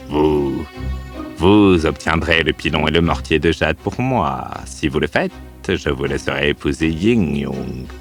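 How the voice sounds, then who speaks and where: A man playing a powerful, imperious mandarin villain in a fairy tale, with music clearly behind him. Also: rumbling low, measured pace. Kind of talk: dramatic fairy tale acting.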